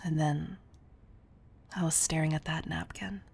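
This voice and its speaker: Woman playing a tough-loving female CEO, low pitch, slow deliberate delivery, breathy, near-whispered, intimate roleplay audio. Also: fairly even intonation, full character voice. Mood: wistful, tender. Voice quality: breathy, soft. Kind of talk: scripted roleplay audio addressed to the listener.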